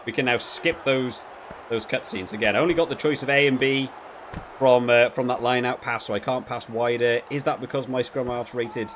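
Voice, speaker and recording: A man with a mid-range voice comments casually as he plays a video game. He thinks aloud in halting, repeated phrases and picks critically at what he sees. Faint noise sits behind his voice. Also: medium pitch, fairly even intonation, fairly bright tone. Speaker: gaming video creator reviewing while playing.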